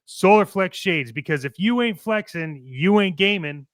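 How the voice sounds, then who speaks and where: Smooth-voiced, higher-pitched male show host. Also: animated, moderate pace, no echo, clean studio sound. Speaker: co-host of a toy-collecting show. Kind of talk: opinionated chat and playful ad reads.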